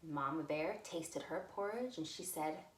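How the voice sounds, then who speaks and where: A woman telling a story to young children as a librarian, with simple, deliberate phrasing. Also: low voice. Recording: roomy, echoey room sound.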